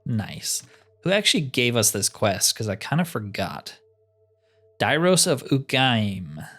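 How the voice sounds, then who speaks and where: A man's voice: medium pitch, sing-song, unhurried, a bit bright. A man giving casual game commentary, thinking aloud in an easygoing way. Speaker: gamer narrating own roleplay playthrough.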